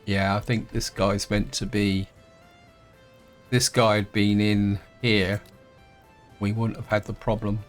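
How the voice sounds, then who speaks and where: A man, croaky from a throat infection, casually thinking aloud as he plays through a strategy game, with lots of fillers and restarts. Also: fairly low pitch, little pitch movement, slow, pauses often, soft background music. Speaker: gameplay host. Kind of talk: casual let's-play commentary.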